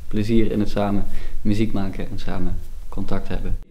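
A man who teaches percussion speaks in a low, mellow voice. His manner is relaxed and easygoing. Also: a little fast.

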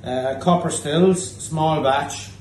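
Irish man from Cork in his thirties, cheeky bar-room host, slightly high voice, roomy pub sound with faint background noise. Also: takes it slowly, darkish tone, distant mic. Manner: cheeky, teasing, swears freely. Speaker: host of a pub tasting show. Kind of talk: casual banter while tasting drinks.

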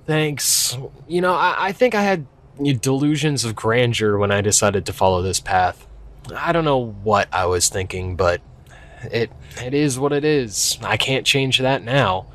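A man's voice: mid-range voice, lively intonation, unhurried, fairly bright tone. A man acting a young, skeptical park ranger in an audio drama, speaking casually and hesitantly with a wry edge. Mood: wistful, resigned. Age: young adult. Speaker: voice actor. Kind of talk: naturalistic audio drama dialogue.

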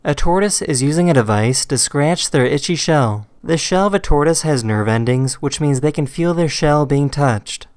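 A man's voice: on the high side, expressive, normal speed, hardly any pauses, a little mellow, slight background noise. A man hosting and narrating a compilation of short video clips in a scripted style.

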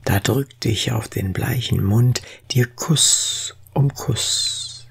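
Slow solemn poetry recital, really breathy husky voice with some gravel in it, expressive. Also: medium pitch. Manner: solemn, expressive, deliberate phrasing. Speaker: poetry reader.

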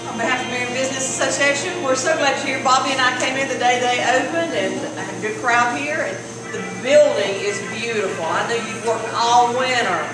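A woman's voice: on the high side, brisk, few pauses, very echoey, distant mic, music in the background, poor audio. A woman giving brief gracious congratulations on behalf of a business association. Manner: warm, gracious.

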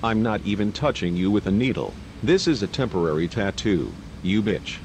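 A young man voicing a gruff, menacing male tattoo artist who makes threats in a deadpan way. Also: fairly low pitch, normal speed, a little hiss or hum, pitched below the performer's own voice.

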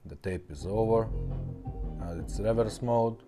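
A man's voice: fairly low pitch, measured pace, over music. A man casually demonstrating a product, explaining step by step in simple, non-native English phrasing. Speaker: presenter of a car radio repair shop demo. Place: indoors at a tabletop.

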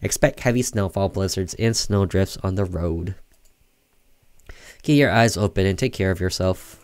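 A man voicing a formal, measured news announcer giving a weather warning in a game. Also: low-pitched, a little mellow, smooth, no room sound, natural voice.

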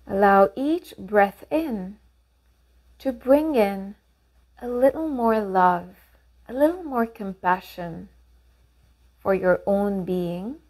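A woman leading a guided meditation, giving gentle, measured instructions with pauses between phrases. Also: medium pitch, expressive.

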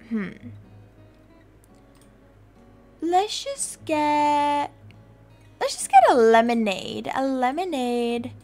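Casting: woman as a scheming, fussy birth mother for game roleplay; high voice, slow breezy muttering, music underneath. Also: full character voice. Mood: idle, picky. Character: brazen, self-satisfied kidnapping birth mother, scheming and fussy. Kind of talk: improvised video game roleplay.

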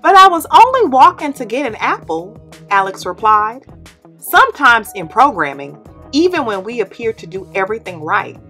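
A young woman, host of the channel, reading a children's picture book aloud in an expressive, animated way. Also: fairly high pitch, normal speed, soft background music. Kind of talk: read-aloud storytelling. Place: indoor studio with plain orange backdrop.

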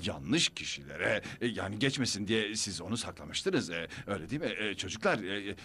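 A man's voice: lowish voice, big pitch swings, fairly quick, pushed and bright, slightly rough, heavily acted. A man voicing a nervous, bumbling middle-aged villain's son, stammering and hesitant, in a cartoon dub. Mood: nervous probing.

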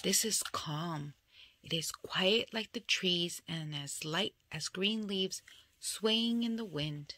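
A woman reading a children's picture book aloud in an expressive storytelling style. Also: fairly low pitch, slow. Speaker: storybook reader.

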